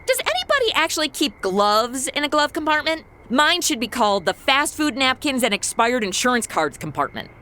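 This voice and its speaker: A woman's voice: high-pitched, very animated, brisk, few pauses, bright, forceful tone, heavily acted. An actress playing a breezy, sarcastic modern young woman in a radio comedy, flippant and full of wisecracks. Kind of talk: comedy audio drama.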